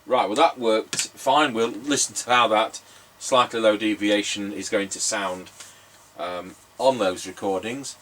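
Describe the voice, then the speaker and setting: Low-voiced man, distant mic. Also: unhurried, fairly bright tone. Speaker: radio repair technician and presenter. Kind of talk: casual test commentary. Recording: distant mic, background noise.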